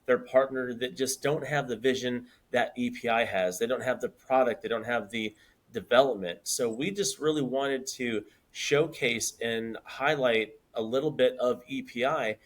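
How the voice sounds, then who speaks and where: A man's voice: medium pitch, fairly even intonation, moderate pace. Earnest man in his fifties. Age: 50s. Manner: earnest, repeats words while building a point. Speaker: podcast co-host. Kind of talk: casual podcast chat.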